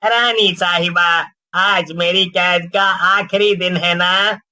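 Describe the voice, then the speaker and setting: A man's voice: high-pitched, steady tone, takes it slowly, bright, forceful tone, heavily acted. A man voicing a wary, pleading captive haunted parrot in a horror cartoon. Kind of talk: Hindi horror cartoon character acting.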